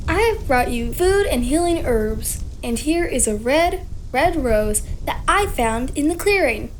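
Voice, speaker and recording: A woman's voice: sits high, expressive, measured pace, a little hiss or hum. A woman playing a polite, eager young girl in a fairy-tale audio drama. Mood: bright greeting. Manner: formal storybook politeness.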